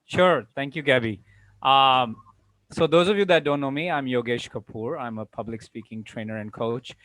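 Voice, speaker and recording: A man's voice: mid-range voice, moderate pace, fairly bright tone. A man from Hong Kong giving an earnest, enthusiastic personal testimonial to an online group. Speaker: public speaking trainer and coach. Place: online group video call. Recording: noisy online call, uneven level.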